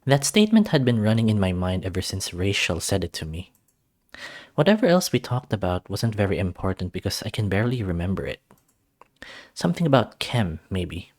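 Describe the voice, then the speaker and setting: A man's voice: lowish voice, big pitch swings, brisk, a little mellow. A man reading a visual novel aloud as a first-person narrator. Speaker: let's-play reader.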